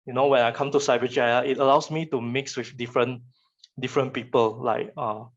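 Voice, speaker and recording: Dull lo-fi call audio, young man, Malaysian accent, native Chinese speaker, mid-pitched, informal student talk, halting and colloquial, repeated phrases. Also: steady tone. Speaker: guest speaker, photographer and digital artist. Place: online virtual session. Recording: muffled, lo-fi online call audio.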